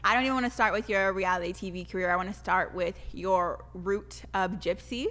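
A woman's voice: mid-range voice, fairly even intonation, a little fast, fairly bright tone. A young woman co-hosting a podcast, chatting casually in an effusive, slangy way. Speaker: podcast co-host. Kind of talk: casual chat, interviewing. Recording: reverberant room sound.

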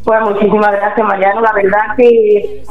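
A woman's voice: mid-range voice, little pitch movement, normal speed. A woman, chatting in a familiar, casual way as a radio co-host. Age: 30s. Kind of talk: casual chat. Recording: dull radio sound, faint music.

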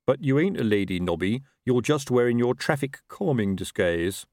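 A man reading a comic novel puts on a police captain who is pompous and easily nettled. He sounds weary and colloquial, a little slow on the uptake. The delivery is very animated and close to the mic. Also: medium pitch, no room sound, lightly acted. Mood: pompous, tetchy. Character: self-important, tetchy, slow-witted old-school police captain.

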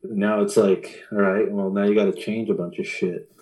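A man's voice: on the low side, level tone, moderate pace, a little mellow, poor audio. A man in his thirties in casual conversation, blunt and sweary, often repeating his phrases. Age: 30s.

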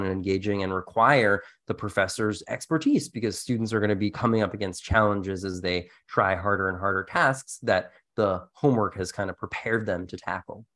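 A man's voice: fairly low pitch, fairly bright tone. A man speaking as a podcast co-host in an informal, conversational discussion, explaining things with casual asides.

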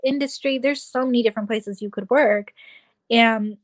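A woman's voice: fairly high pitch, moderate pace. A woman in her twenties, a guest in a casual chat, talking animatedly and acting out her own thoughts as quotes. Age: 20s.

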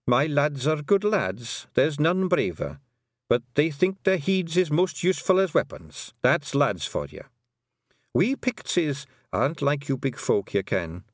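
A man's voice: big pitch swings, dry recording, studio quality. A man voicing a tiny, dying, stubborn old fairy clan mother, in a storybook reading. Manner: broad brogue, raised pitch, slow weary phrasing. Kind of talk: audiobook character voice.

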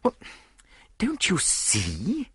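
An impatient, self-assured English gentleman played by a male narrator in a detective storybook. His delivery is earnest and insistent. The pitch moves up and down widely. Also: huge pitch swings, moderate pace, husky, no room sound, studio quality, exaggerated voice.

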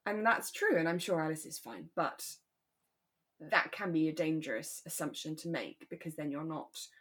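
Female podcast co-host, slightly low pitch, agreeable and thoughtful in relaxed discussion. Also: moderate pace. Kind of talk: relaxed discussion of a radio drama.

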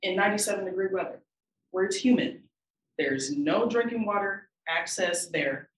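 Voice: mid-range voice, normal speed, recorded from a distance. Young panelist, wry and exasperated anecdote, sounds off-mic in a roomy space. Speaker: student panelist. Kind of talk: casual storytelling.

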